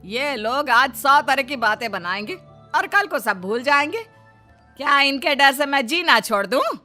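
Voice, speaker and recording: Woman voicing old widowed mother, wounded yet defiant, impassioned, forceful high-ish voice, family story drama. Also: expressive, a little slow, soft background music, exaggerated voice. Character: wounded, determined elderly widowed mother wanting to remarry. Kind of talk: melodramatic Hindi family story acting.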